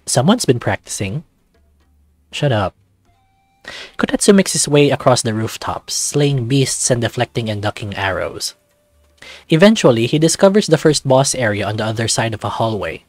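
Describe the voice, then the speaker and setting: A man narrates a visual novel in the second person with an even storytelling delivery. His voice is mid-pitched and the recording is dry and close. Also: brisk, dry recording, faint music behind.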